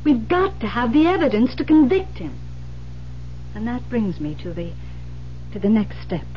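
A woman playing a composed, determined confidante in an old-time radio thriller, earnest, deliberate and reassuring. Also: a little high, big pitch swings. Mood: earnest, insistent. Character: Iris, composed, determined woman coaching a frightened friend. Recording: muffled old radio recording with noise, hum.

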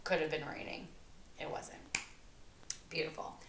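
A woman's voice: deep voice, steady tone, unhurried, a bit bright, a little hiss or hum. Chatty woman in an echoey room. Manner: chatty, uses "like" often. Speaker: podcast co-host. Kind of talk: casual chat.

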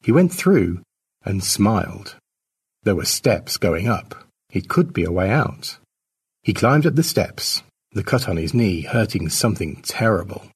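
A man's voice: sits low, big pitch swings, measured pace, breathy edge. A man reading his own short story as a scripted narration.

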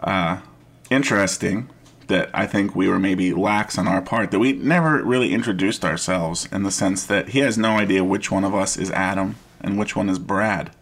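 A man co-hosting a casual podcast chat, with a gruff voice and a stammering, hesitant way of talking. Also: deep voice, normal speed. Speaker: podcast co-host.

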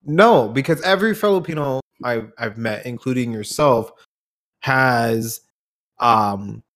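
A man chatting casually, with a halting, informal delivery. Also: medium pitch, a bit bright. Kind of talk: casual chat.

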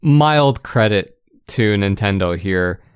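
A man with a fairly low voice, recorded dry and close. He chats casually as a podcast co-host about games, using slangy, informal phrasing as if talking with a friend. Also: expressive, slow pace, clean tone, no room sound. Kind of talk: casual gaming podcast chat.

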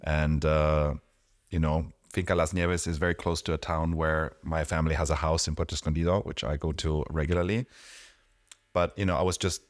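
Very deep-voiced enthusiastic man. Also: flat delivery, fairly quick, dry recording, studio quality. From Mexico. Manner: enthusiastic, peppered with "you know". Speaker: guest, coffee subscription owner. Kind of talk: casual conversational interview.

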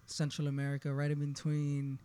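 A man's voice: a little high, completely flat delivery, fairly quick, warm tone, clear, resonant tone. A man in his twenties from Honduras, a podcast guest in a barbershop, telling his story in a laid-back way and often asking "you know what I mean". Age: 20s. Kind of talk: casual personal storytelling.

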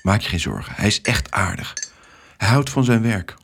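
A man voicing a kind, supportive, excitable woman friend in a children's story, with an encouraging manner. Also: lowish voice, moderate pace, gravelly, slightly rough, heavily acted. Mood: soothing. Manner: encouraging, exuberant.

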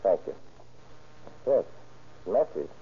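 A tinny, faintly hissy old radio drama recording. A very low-voiced male smuggler speaks calmly and formally, in clipped, precise, secretive phrases. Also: slow pace, full character voice. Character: calm, secretive male drug smuggler aboard ship. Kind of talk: 1930s radio drama acting.

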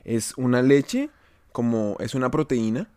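A man's voice: mid-range voice, expressive, measured pace, darkish tone, clear, resonant tone, dry recording. A man co-hosting a casual podcast, joking, laughing and swearing freely. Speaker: podcast co-host. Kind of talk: casual banter.

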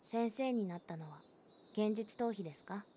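A woman's voice: medium pitch, lively intonation, moderate pace, slight background noise, lightly acted. A woman playing a frank, teasing schoolgirl. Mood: candid, teasing. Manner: candid, gently teasing. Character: frank, cheerful teenage schoolgirl. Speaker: student. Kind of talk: voice drama acting.

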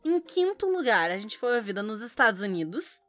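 A woman's voice: on the high side, sing-song, a little fast, soft background music. A woman co-hosting a podcast in casual chat, playful and swearing in mock outrage. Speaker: podcast co-host.

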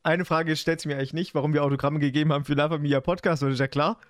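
A man's voice: on the high side, steady tone, very fast, no room sound, studio quality. A young man chatting casually with friends as a podcast co-host, talking in colloquial slang. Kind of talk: casual chat among friends.

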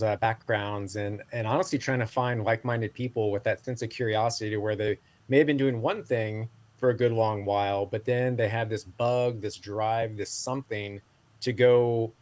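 Guy in his 40s on a podcast, lowish voice, lots of ums and restarts, easygoing. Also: darkish tone. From Southeast Asia. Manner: rambling, many fillers and restarts. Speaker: podcast guest. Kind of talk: casual interview talk.

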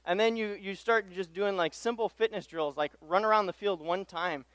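Young man preaching in a high, clear voice. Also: normal speed, a bit bright, no echo. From Tanzania. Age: young adult. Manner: casual, self-deprecating, repeats phrases. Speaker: pastoral intern preaching as guest speaker. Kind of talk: informal conversational sermon with personal anecdotes. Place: church pulpit.

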